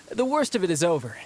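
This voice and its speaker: A man's voice: sits high, a little hiss or hum. A man voicing a cocky young male warrior in a video game, talking in a casual, teasing way. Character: cocky young male warrior, battle-hungry, recovering from illness.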